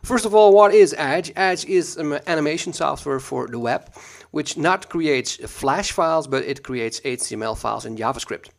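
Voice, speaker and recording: A Dutch man with a Dutch accent, teaching a screen-recorded software tutorial in a relaxed, chatty way full of ums and uhs, recorded at a computer mic. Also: on the high side, normal speed, creaky.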